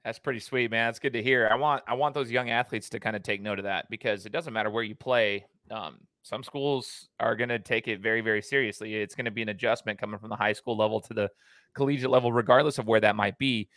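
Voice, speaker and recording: A man's voice: fairly low pitch, fairly even intonation, fast, a bit bright, no echo. A man hosting a podcast and coaching basketball, asking long, rambling questions in a casual chatty way.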